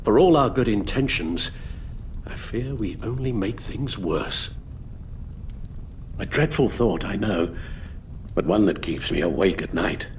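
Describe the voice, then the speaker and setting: Weary elderly butler, expressive delivery. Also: male voice, on the low side, slight background noise. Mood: weary, resigned. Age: older. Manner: measured, formal, earnest. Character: weary elderly male butler, guilt-ridden, grave, fatherly. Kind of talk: dramatic video game acting.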